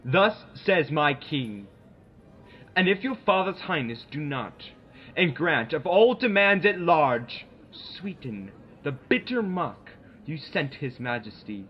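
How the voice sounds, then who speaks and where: A man playing a proud English duke, an envoy delivering a king's threats in declamatory, deliberate Shakespearean radio theater. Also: fairly high pitch, big pitch swings. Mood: menacing, scornful. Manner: declamatory, deliberate, dramatic pauses. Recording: dull lo-fi amateur audio.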